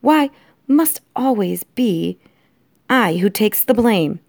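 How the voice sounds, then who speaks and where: A woman's voice: mid-range voice, expressive, slow pace, gravelly, darkish tone, dry recording, studio quality, pitched above the performer's own voice. A woman voicing a haughty, indignant mother mouse in a storybook, theatrical and disdainful. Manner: theatrical, disdainful, stilted phrasing.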